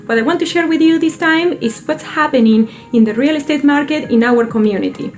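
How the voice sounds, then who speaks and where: A woman's voice: sits high, fairly quick. A woman from Argentina whose first language is Spanish, presenting a scripted real estate video about her neighborhood.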